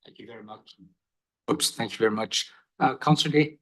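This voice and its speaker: A man serving as mayor, chairing a council meeting with brisk, formal procedure and a little light humor. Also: medium pitch, fairly bright tone. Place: council chamber.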